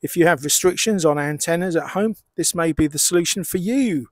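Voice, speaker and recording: Middle-aged man, higher-pitched voice. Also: brisk, no room sound. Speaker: amateur radio hobbyist presenter. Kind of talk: explanatory product demonstration.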